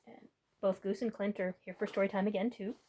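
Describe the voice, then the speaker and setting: A woman's voice: mid-range voice, fairly even intonation, fairly quick, warm tone, a little hiss or hum. A woman hosting a storytime, reading a children's picture book aloud as narrator.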